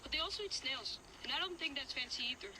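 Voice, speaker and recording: High-voiced, vulnerable young child. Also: female voice, animated, fast, background noise. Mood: disgusted. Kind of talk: dramatic game audio acting.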